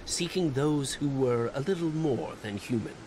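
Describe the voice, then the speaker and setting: A man playing a thoughtful storyteller, narrating an animated fable expressively and deliberately. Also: mid-range voice, a little hiss or hum, close to an everyday voice. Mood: storytelling. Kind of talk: scripted fairy tale narration.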